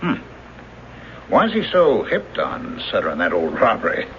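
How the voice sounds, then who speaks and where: An actor playing a helpful, matter-of-fact police lieutenant with a folksy manner in a 1970s radio drama. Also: male voice, on the low side, huge pitch swings, moderate pace. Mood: curious, sympathetic. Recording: muffled, hissy 1970s radio recording.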